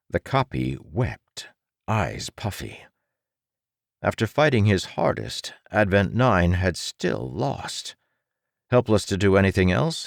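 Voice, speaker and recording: A man's voice: low-pitched, dry recording, clean studio sound. A man reading an action story as an audiobook narrator in a dramatic, scripted style.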